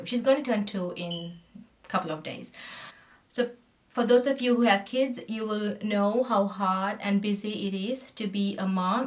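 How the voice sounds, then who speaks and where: A woman in her thirties from Sri Lanka, chatting at a close camera while doing her makeup, friendly and talkative, often trailing off mid-sentence. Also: medium pitch. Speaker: makeup vlogger. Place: small indoor room, plain backdrop. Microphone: camera facing her at close range.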